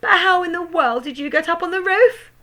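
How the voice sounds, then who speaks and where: A woman's voice: very high-pitched, animated, brisk, pushed and bright, voice pushed up, heavily acted. A woman voicing a flustered, stammering mother in a storybook reading. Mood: stunned. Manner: stammering, astonished.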